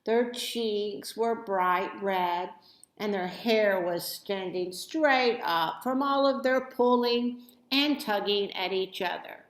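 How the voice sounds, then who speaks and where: A woman reading a children's story aloud with expressive, deliberate phrasing in a roomy, echoey recording. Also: medium pitch. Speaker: storybook reader.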